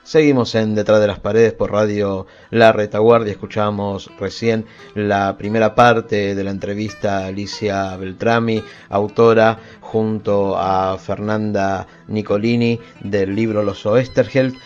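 Low-voiced male radio host. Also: little pitch movement, measured pace, darkish tone, dull audio, faint music behind. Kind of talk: radio show presenting.

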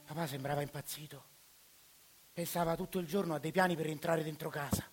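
Brief: male narrator with a Roman accent, quiet and intimate, with a fairly high voice. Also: steady tone, a little fast, soft background music. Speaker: actor narrating a monologue. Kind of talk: theatrical radio monologue.

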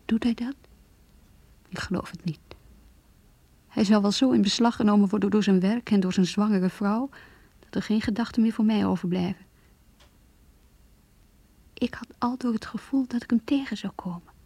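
Wounded mistress, extremely breathy near-whisper, soft mellow tone, intimate sorrowful radio-play diary, close and dry. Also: female voice, medium pitch, moderate pace, pauses often, no echo. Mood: wistful, bitter, resigned. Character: heartbroken mistress, wounded, longing, quietly bitter. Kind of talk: radio drama inner monologue, diary reading.

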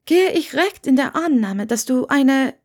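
A woman narrator acts out a young witch in an audiobook story. She sounds curious and puzzled, pitched noticeably high, with a hesitant, faltering delivery that stammers at times. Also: animated, unhurried. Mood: cautious. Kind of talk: audiobook character dialogue.

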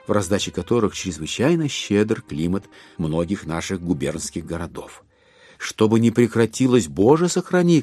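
A man's voice: on the low side, darkish tone, breathy edge. A man performing as a wry, ironic storyteller, reading literary narration in an audio drama.

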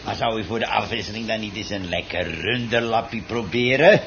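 An actor playing a chatty, nosy butcher in a Dutch radio play, folksy and polite yet prying. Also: male voice, on the low side, fairly quick, gravelly. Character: chatty, nosy male butcher serving a regular. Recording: dull noisy old radio recording.

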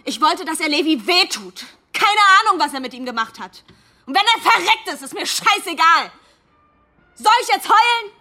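A woman's voice: extremely high pitch, sing-song, normal speed, sharp tone, soft background music, heavily acted. An actress playing a spiteful, heartbroken young woman who speaks in blunt confessions in a radio drama. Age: young adult. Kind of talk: German radio drama acting.

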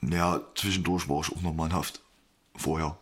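A man with a low voice answers an interviewer. He talks casually and plainly, in an unembellished, matter-of-fact manner. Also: fairly even intonation, moderate pace, fairly bright tone. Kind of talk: casual, plain-spoken personal account.

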